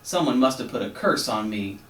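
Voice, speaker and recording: A man voicing an old male frog sea captain who talks in hearty, loud exclamations. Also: medium pitch, animated, moderate pace, natural voice. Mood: grumbling. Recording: roomy, slightly distant, faint hum.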